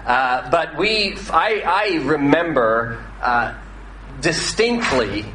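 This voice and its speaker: An American man in his thirties preaches in a relaxed, conversational way, often pausing on "uh" and restarting his sentences. His voice is bright and forward. It rings through a large, echoey hall with a faint noise floor. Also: a little high. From northwestern United States. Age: 30s. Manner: conversational, with frequent "uh" fillers and restarts. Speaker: pastor. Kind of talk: sermon.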